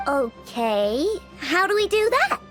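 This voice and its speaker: A woman's voice: sits high, sing-song, slow, a bit bright, soft background music, heavily acted. A woman voicing a blunt, grudging young girl inventor in a video game, with a dry manner.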